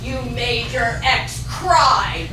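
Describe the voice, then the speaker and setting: High-voiced blunt girlfriend, played by a woman. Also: lively intonation, very slow. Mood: cold, blunt. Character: blunt, vengeful girlfriend on a date. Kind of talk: staged script reading. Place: small theatre stage, distant camera. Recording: very echoey theatre, distant, noisy.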